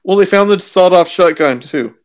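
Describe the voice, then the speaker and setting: A man's voice: sits high, expressive, brisk. A man chatting casually as a podcast co-host. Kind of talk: casual chat.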